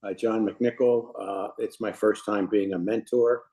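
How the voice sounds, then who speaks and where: Deep, mellow man. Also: fairly even intonation, measured pace, rough recording. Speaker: volunteer mentor introducing himself. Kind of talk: casual introduction on a group video call. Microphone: video call.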